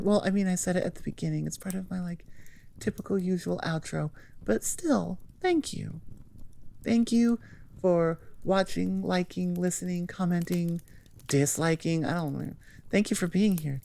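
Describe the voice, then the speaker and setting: A woman's voice: lowish voice, creaky, a little mellow. A woman hosting her audio channel in casual, rambling chat, informal and self-deprecating as she thinks aloud. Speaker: audio roleplay creator and channel host.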